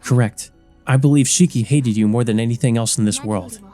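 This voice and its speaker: A man's voice: medium pitch, fairly quick, warm tone, faint music behind, lightly acted. A man voicing a composed, stern but caring older schoolgirl who explains and cautions precisely in a visual novel. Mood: grave, explanatory. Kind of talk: visual novel character reading.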